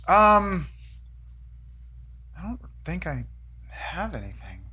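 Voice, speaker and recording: A man hosting a relaxed at-home chat, his voice lively and full of swings. The tone is bright and clear, and he rambles and jokes around easily. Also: sits high, takes it slowly, slight background noise. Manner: playful, rambling, joking. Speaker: host. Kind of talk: casual chat.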